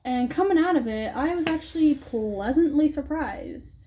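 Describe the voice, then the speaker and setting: A young woman co-hosting a casual movie review chat, playful and teasing and quick to laugh. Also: sits high, expressive, background noise. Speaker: co-host of a movie review channel.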